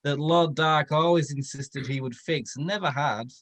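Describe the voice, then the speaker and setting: A man's voice: a little high, moderate pace, a bit bright, no echo. A man narrating a story aloud for comedy in a playfully dramatic way. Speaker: comedian reading fan fiction aloud.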